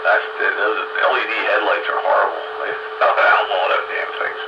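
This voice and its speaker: A man's voice: lowish voice, steady tone. Older man on heavily noisy, muffled radio. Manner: halting, reminiscing. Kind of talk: casual group chat.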